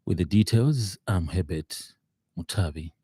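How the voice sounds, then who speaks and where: A man with a very deep, mellow voice and a Zambian accent reads the radio news. His delivery is formal and halting, and the sound is close and dry. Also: expressive, husky, dry recording. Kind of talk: scripted news bulletin. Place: radio studio.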